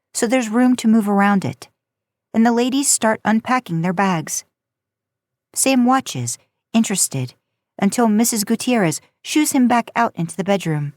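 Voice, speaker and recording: A woman's voice: mid-range voice, expressive, moderate pace, darkish tone, no echo. A woman reading a story aloud in audiobook-style narration. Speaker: podcast host and fan fiction narrator.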